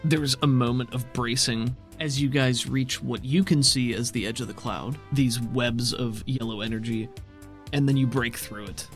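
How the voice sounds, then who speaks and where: A man's voice: medium pitch, fairly even intonation, normal speed, soft background music. A man working as game master, improvising descriptive, conversational narration for a tabletop game.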